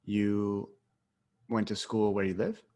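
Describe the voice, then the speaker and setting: A man's voice: fairly low pitch, little pitch movement, takes it slowly, darkish tone. A man in his twenties hosting a casual podcast interview, speaking loosely with lots of "like". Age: 20s. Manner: casual, says "like" often. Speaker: podcast host.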